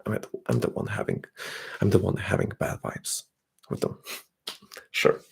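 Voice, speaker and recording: A young man, chatting softly and often whispering on an ASMR livestream while reading out comments. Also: low voice, expressive, normal speed, creaky, breathy edge. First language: Bulgarian. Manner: soft-spoken, drifting into whispers. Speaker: ASMR streamer.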